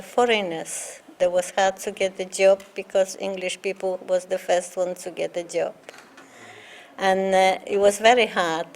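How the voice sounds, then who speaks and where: An older woman from Poland speaks English with a Polish accent, her first language showing through. She talks slowly, pausing often and filling gaps with "uh" as she recounts her past in an interview. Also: mid-range voice. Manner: halting, with many fillers and pauses. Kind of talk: oral history interview.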